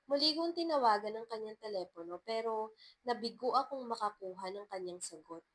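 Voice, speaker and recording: A woman's voice: fairly high pitch, lively intonation, a little fast, fairly bright tone. A woman reading a first-person love story as a scripted narration.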